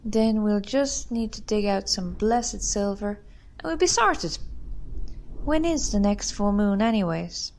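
A woman playing a brisk, pragmatic Irish parish meeting chair in a radio drama. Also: medium pitch, animated, a little mellow. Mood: brisk, organised. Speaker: actor in an amateur radio drama.

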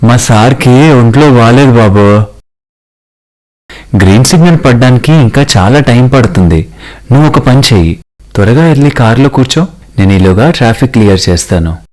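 A man's voice: medium pitch, lively intonation, normal speed, darkish tone, natural voice, poor audio. A man voicing a loyal, respectful driver to a rich boss in a cartoon story. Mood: worried.

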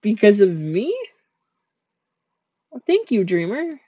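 A woman voicing a sweet, shy female dream eater spirit in a soft, gentle, soothing ASMR sleep-aid roleplay. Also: medium pitch, big pitch swings, takes it slowly, slightly rough, no echo, highs cut off, full character voice.

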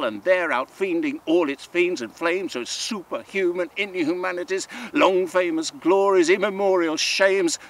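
A man giving a solemn, dramatic poetry recitation in a measured way, with long pauses and swells from hushed to forceful. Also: on the high side. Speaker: poetry reader. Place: outdoors in open countryside. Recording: outdoor ambient noise.

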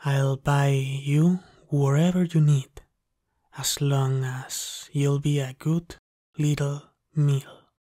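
A man's voice: mid-range voice, flat delivery, takes it slowly, warm tone, dry recording, studio quality, natural voice. A man playing a possessive, dominant vampire captor in an ASMR roleplay, speaking in a menacing, condescending half-whisper. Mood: coaxing, possessive. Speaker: ASMR voice actor.

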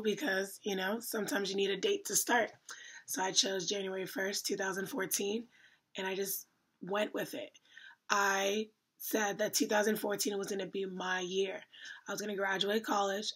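A woman's voice: mid-range voice, slightly rough. A woman in her twenties casually telling her own fitness story to the camera from a small room at home. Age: 20s. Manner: chatty, lively, acts out her own past remarks. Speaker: fitness vlogger. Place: small indoor room at home, curtains behind.

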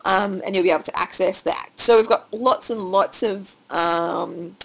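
An Australian woman with a cold and a nearly lost voice, chatting excitedly and rambling with many fillers as she walks through her site at home. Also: mid-range voice, a little slow, gravelly. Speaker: online course creator. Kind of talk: casual screen-share walkthrough. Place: at home with children nearby.

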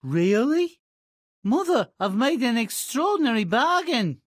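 A woman's voice: a little high, big pitch swings, measured pace, husky, dry recording, clean studio sound, full character voice. A woman voicing a lazy, cheeky young peasant boy in a fairy-tale video game, with a nonchalant manner. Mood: eager. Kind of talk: video game character acting.

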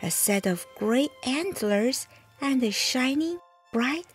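A woman with a fairly high voice reads a rhyming bedtime story. She goes very slowly and deliberately, giving each phrase expression, with faint music behind her. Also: lively intonation. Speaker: mother reading a picture book aloud. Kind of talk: bedtime story read-aloud in rhyme.

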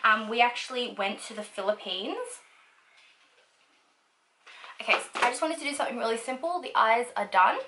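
Bright-voiced young woman from australia, rambling and chatty while she does her makeup, kinda echoey room. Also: fairly high pitch, animated, normal speed, pauses often, a little hiss or hum. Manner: chatty, lots of likes and ums, laughs easily; talks while applying makeup. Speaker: beauty vlogger. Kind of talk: casual get-ready-with-me chat. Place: small indoor room at home.

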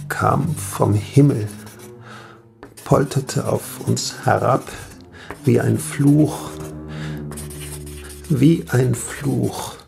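An actor playing a horror-stricken man in a radio drama, haltingly recounting a nightmare with dread and repeated phrases. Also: mid-range voice, creaky, heavily acted. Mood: haunted dread.

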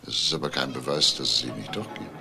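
An actor playing a probing, unhurried police detective in a crime radio drama. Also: male voice, rumbling low, gravelly, over loud music. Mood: probing, measured. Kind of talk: naturalistic crime drama dialogue.

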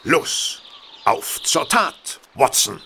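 A man playing a brisk, authoritative detective in an audio drama, speaking formally and deliberately. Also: medium pitch, sing-song, gravelly, sharp tone, a little hiss or hum, full character voice. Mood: urgent. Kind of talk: German audio drama acting.

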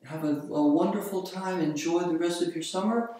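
A calm, unhurried voice talking through a Zen teaching in a slow, meditative way. It is heard from well away from the microphone, and the sound bounces hard around a small, bare indoor room. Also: medium pitch. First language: not Japanese. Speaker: vlogger. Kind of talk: reflective monologue on a Zen koan. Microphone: far camera on the floor. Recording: very echoey room, voice from far off.

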